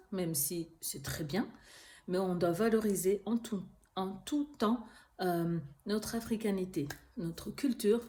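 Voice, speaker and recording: A woman, a Kinyarwanda speaker, hosting her own channel and talking earnestly to camera, pausing often with fillers. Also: lowish voice, mellow. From Rwanda. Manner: earnest, hesitant with frequent fillers. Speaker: YouTube channel host. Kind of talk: casual talk to camera.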